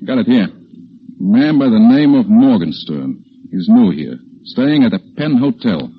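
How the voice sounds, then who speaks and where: A husky man, a grumpy kennel owner in a 1940s radio drama. He blusters indignantly in a lowish, wildly varying pitch, on a dull old broadcast. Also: sing-song, a little slow, exaggerated voice. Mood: grudgingly helpful. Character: grumpy, exasperated male kennel owner woken at night.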